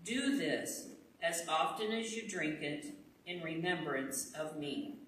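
A woman pastor reciting a communion prayer in a measured, solemn way, in a church sanctuary. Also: sits low, fairly bright tone, slight background noise. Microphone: far room camera. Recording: echoey sanctuary from far off.

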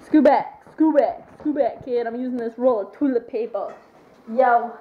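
A woman's voice: a little high, moderate pace, muffled recording. A playful young woman filming her family at home, teasing and hyper, with clicking noise from a propped-up camera. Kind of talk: casual home video chat. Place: family home living room, indoors. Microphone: camera propped on a counter nearby.

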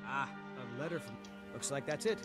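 An actor playing a hurried, plain-spoken courier in a video game. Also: male voice, mid-range voice, lightly acted. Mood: brisk, businesslike. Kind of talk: video game character dialogue. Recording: game dialogue under clear background music.

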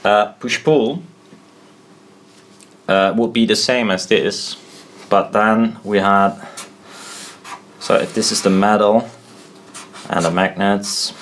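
A man giving an informal tutorial at a desk, thinking aloud in a halting, self-deprecating way. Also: fairly low pitch, steady tone, slight background noise. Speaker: presenter explaining loudspeaker technology. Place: indoors at a desk.

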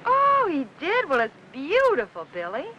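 An actress playing a gentle, anxious housewife in a 1950s Hollywood film, polite and hesitant, with an American accent. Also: female voice, extremely high pitch, wildly swooping pitch, moderate pace, lo-fi sound, a little hiss or hum, heavily acted. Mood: indulgent, playful. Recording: old film soundtrack with music.

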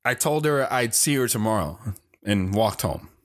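A man's voice: on the low side, expressive, normal speed, natural voice. A man playing a bashful, wistful wanderer recounting his youth in an audio drama. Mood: reflective. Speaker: storyteller. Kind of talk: first-person audio drama narration.

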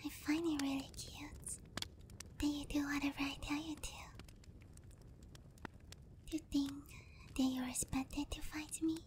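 A woman acts out a giant demon queen in an ASMR roleplay, whispering softly and breathily in a high register. She is teasing, doting and quietly in command. Her delivery is unhurried and very even in pitch, coaxing the listener up close to the mic. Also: background noise. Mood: tender, coaxing. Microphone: close ASMR microphone.

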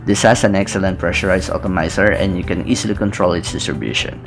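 Gravelly young man reviewing over music. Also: sits low, fast. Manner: steady, methodical, descriptive delivery. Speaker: fragrance reviewer. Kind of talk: scripted product review narration. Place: small indoor room with brick-pattern wall. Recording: clear background music under voice. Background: background music.

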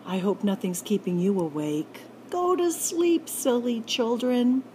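A woman reading a picture book aloud to children in an animated, playful way, drawing out the sound words. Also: fairly high pitch, a little slow, slight background noise. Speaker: library story time reader.

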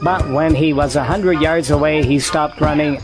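Male narrator for children's storybook drama, slightly high voice, music underneath. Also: fairly even intonation, normal speed.

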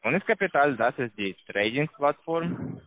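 A man with a foreign accent, not a native German speaker, giving a halting, repetitive telephone sales pitch as a call center salesman. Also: expressive. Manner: halting, repetitive, broken grammar. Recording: tinny narrow phone line.

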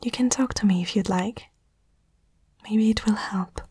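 Woman, ASMR roleplay, mostly whispered, extremely breathy, tender and reassuring, dry close-mic sound. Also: medium pitch, measured pace, warm tone, no room sound. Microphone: close microphone.

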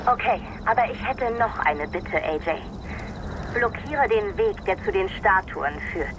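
An actress playing a stern, determined rebel leader, firm and serious in a dramatic video game dub. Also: female voice, medium pitch, lively intonation, measured pace, lightly acted. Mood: firm, insistent. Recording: clear game noise, ambience behind.

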